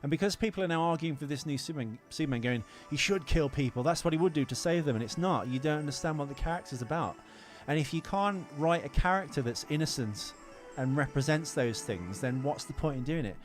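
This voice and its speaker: A man's voice: fairly high pitch, animated, fast talker, soft background music. A man co-hosting a casual film podcast, talking in an opinionated, rambling way with plenty of swearing. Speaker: podcast co-host.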